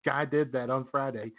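A man co-hosting a radio talk show, coughing and clearing his throat, joking dryly about himself. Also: medium pitch. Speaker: co-host. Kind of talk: casual radio banter. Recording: phone-like lo-fi line.

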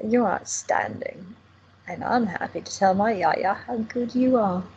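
A woman's voice: mid-range voice, a little slow, gravelly, darkish tone, dull audio, a little hiss or hum. A woman playing a teasing, affectionate young woman in an audio drama. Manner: playful, teasing.

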